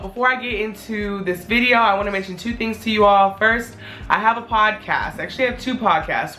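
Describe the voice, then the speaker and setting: A woman in her twenties talking casually to her viewers in a vlog, her voice a little hoarse as it returns, chatty and candid. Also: on the low side, bright, forceful tone. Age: 20s. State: hoarse, voice not fully back. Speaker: vlogger.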